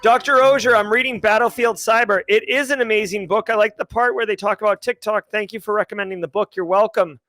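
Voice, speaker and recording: A man's voice: high-pitched, fairly even intonation, fast talker, few pauses, a bit bright, dry recording. A man in his forties hosting a casual livestream, reading chat questions in a rambling, upbeat way and often stopping to correct himself. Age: 40s.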